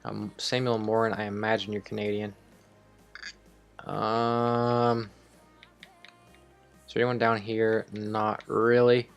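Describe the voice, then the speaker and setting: Low-voiced man rambling through game commentary. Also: level tone, slow, creaky, pauses often, clean tone, lo-fi sound, soft background music. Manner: rambling, thinking aloud, offhand swearing. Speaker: host of a video game series. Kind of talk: casual improvised gameplay commentary.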